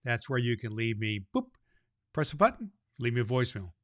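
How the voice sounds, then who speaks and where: A man's voice: mid-range voice, big pitch swings, fairly quick, no room sound, clean studio sound, lightly acted. A man playing a kindly, jolly old Santa Claus, hosting a children's show in a folksy, effusive way. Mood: jolly, affectionate. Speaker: host.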